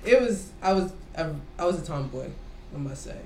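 A woman's voice: fairly low pitch, animated, a little fast, warm tone. American woman chatting in an echoey room. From Massachusetts, United States. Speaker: podcast co-host being interviewed. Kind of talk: casual chat. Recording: echoey room, faint background noise.

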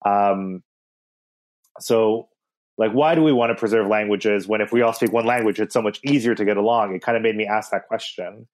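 A man's voice: on the low side, normal speed. A man co-hosting a podcast, talking through the weekly Torah portion in a thoughtful, rambling way with many restarts. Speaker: podcast co-host.

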